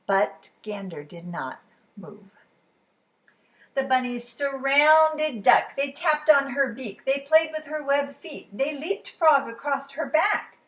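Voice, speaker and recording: Female storytime reader, unhurried and very animated, dramatic delivery with hushed dips. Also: sits high, poor audio. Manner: dramatic, dropping to hushed whispers. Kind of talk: expressive picture-book read-aloud.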